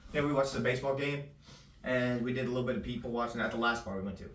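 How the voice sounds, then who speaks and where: A man chatting casually in a loose, rambling vlog, his voice a little raspy. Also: mid-range voice, very fast, fairly bright tone, a little hiss or hum. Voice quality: slightly raspy. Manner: loose and rambling, full of fillers. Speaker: vlogger. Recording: echoey room, distant mic.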